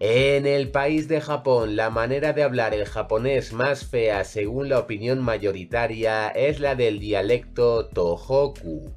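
A man narrating a ranking video in a scripted voice-over, reading each entry in a measured, even way. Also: medium pitch. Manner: measured, evenly read list delivery.

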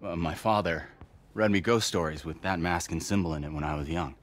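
Measured charity director, low husky voice. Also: male voice, fairly even intonation. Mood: earnest.

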